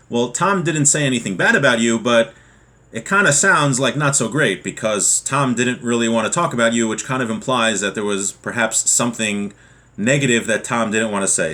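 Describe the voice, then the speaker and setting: A man's voice: medium pitch, bright, forceful tone. A man giving an informal lesson as a religious teacher, reading from a text and then explaining it with everyday examples.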